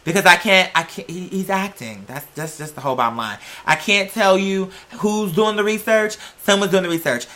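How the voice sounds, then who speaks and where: A woman's voice: low voice, fairly bright tone. A woman hosting a video, giving casual, blunt commentary to viewers with plenty of swearing and slang. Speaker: video host.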